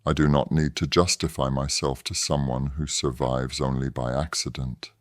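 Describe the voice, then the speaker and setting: An actor playing a proud, caustic professor in an audio drama, speaking formally with cold sarcasm. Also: male voice, rumbling low, little pitch movement, normal speed, no room sound, studio quality. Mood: cold disdain. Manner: formal, disdainful, clipped sarcasm. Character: proud, caustic wounded male professor and resistance fighter.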